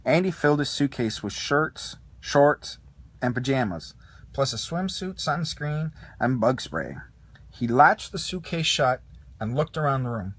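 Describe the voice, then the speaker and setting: A man narrating a children's storybook as an expressive read-along. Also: mid-range voice, a little slow, husky, no room sound. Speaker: storybook reader.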